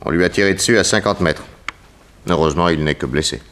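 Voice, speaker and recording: An actor playing a shrewd, suave private detective with an ironic, insistent way of questioning in a 1960s French radio drama. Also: male voice, low-pitched, fast, a bit bright, slight background noise. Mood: polite, probing.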